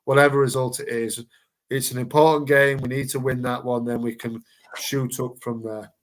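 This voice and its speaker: A man co-hosts a casual football chat show. He rambles as he goes and stumbles over repeated words and fillers. His voice sits at an ordinary middle pitch. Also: level tone, normal speed. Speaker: podcast co-host.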